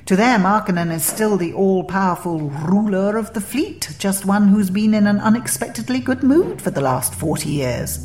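A woman narrator voicing an eccentric, breezy male alien adventurer in a story, brisk, playful and given to lecturing. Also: mid-range voice, animated, a little mellow, reverberant, pitched above the performer's own voice, lightly acted.

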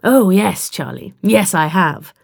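Wry, protective male time traveller, read by a woman, calm and clipped, storybook hero, dry close recording. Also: on the low side, slow, gravelly, breathy edge, dry recording, exaggerated voice. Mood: wry, reassuring.

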